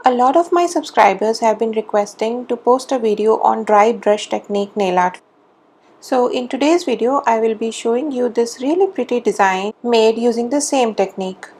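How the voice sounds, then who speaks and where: A woman hosting a nail art channel, explaining each step in a clear, steady voice-over. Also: fairly high pitch. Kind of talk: voice-over tutorial narration. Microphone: voice-over mic, not on camera.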